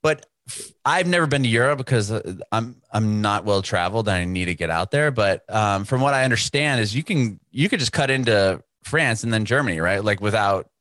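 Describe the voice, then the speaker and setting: A man's voice: lowish voice, animated, a little fast, few pauses, fairly bright tone, no echo. A man from San Diego hosting a music podcast, chatty and upbeat, with lots of fillers and restarts. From San Diego, United States. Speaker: podcast host. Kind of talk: casual interview chat and scripted intro.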